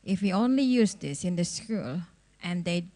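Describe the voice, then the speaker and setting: A woman's voice: fairly low pitch, expressive, moderate pace, warm tone. A woman from Indonesia in the audience, a non-native English speaker, asking a question hesitantly with many fillers. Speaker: audience member.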